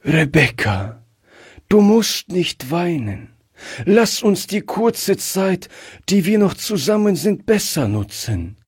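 A man voicing a gravely ill, gentle old grandfather who speaks with effort. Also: high-pitched, sing-song, slow, no room sound, studio quality, voice pushed up, exaggerated voice.

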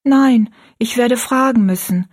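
Here is a young storybook orphan girl, played by a woman narrator, timid but earnest. The voice is lifted high and childlike, with a soft, slightly breathy grain. The delivery is sincere and pleading. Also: measured pace.

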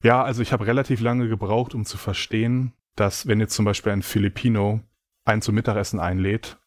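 A man's voice: lowish voice, flat delivery, fairly quick, dry recording, studio quality. A man giving reflective, explanatory answers in a casual conversational interview. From Germany. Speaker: interview guest.

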